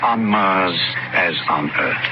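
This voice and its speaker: An actor playing a gentle, stubborn old desert preacher who speaks solemnly and formally in an old-time radio drama. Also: male voice, deep voice, slow pace. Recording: muffled, noisy old radio recording.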